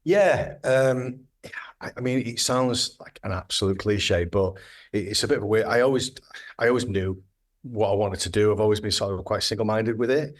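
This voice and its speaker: A man's voice: fairly low pitch, normal speed. A man in his thirties, a graphic designer, talking casually in a podcast interview, rambling and restarting his sentences. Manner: rambling, hesitant, with frequent restarts.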